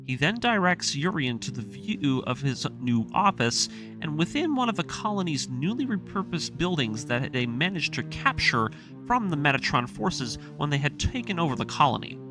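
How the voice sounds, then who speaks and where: A man's voice: on the high side, sing-song, moderate pace. A man reading a scripted story recap as the narrator of a series. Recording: voice-over with music underneath. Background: music.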